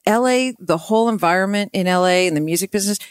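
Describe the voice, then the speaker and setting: A woman's voice: mid-range voice, fast, clear, resonant tone, no room sound. Woman chatting candidly in a dry room. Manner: candid, joking. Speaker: podcast guest, singer-songwriter. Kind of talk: casual chat.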